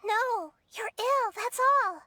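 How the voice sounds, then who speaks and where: A woman voicing a small, wise child goddess with an earnest, measured and compassionate manner in an anime-style game. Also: very high-pitched, sharp tone, a little hiss or hum, full character voice. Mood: earnest, pleading. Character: small, gentle, wise young girl deity, calm and compassionate. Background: game music.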